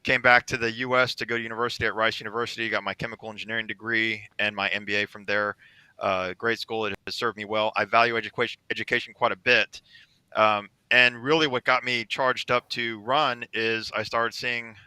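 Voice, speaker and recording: A man's voice: fairly low pitch, fairly quick, clear, resonant tone, no echo, low-quality recording. A man from the United States giving an earnest introduction of himself as a school board candidate. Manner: earnest, steady, a few fillers. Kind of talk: campaign introduction.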